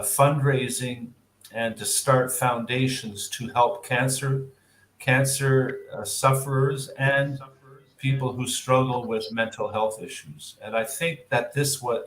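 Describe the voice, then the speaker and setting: Canadian man, unhurried and reflective, restarts his sentences a lot, heard on a video call in an echoey room with faint background hum. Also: mid-range voice. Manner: thoughtful, with frequent fillers and restarts. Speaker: interviewee. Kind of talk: answering mock speaking test questions.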